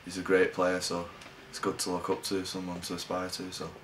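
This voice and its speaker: A young man from England, a rugby league player giving low-key, halting interview answers. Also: monotone, a bit bright, slight background noise.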